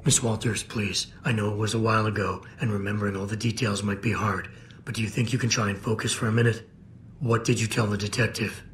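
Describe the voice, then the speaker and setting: An actor playing a guarded, gruff investigator hero in a video game, terse and restrained but earnest. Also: male voice, fairly low pitch, steady tone, fast, a bit bright, a little hiss or hum, natural voice. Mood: patient, probing.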